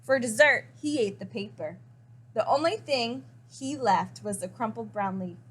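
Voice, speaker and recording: A woman reading a children's picture book aloud expressively, with dramatic emphasis and pauses. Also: on the high side, lively intonation, fairly bright tone, breathy edge. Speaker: story reader.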